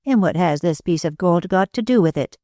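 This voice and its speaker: A reader voicing a thin, pale woman clairvoyant who speaks slowly and with emotion, in an audiobook. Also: mid-range voice, animated, mellow, dry recording, clean studio sound, close to an everyday voice. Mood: calm. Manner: slow, emotional, solemn. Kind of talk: audiobook reading.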